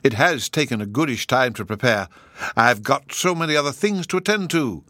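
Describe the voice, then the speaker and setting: A man's voice: medium pitch, animated, moderate pace, bright, forceful tone, dry recording, pitched above the performer's own voice. A man voicing a cheery, chuckling, absent-minded old professor in an audiobook. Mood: chattering. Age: older. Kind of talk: audiobook character voice.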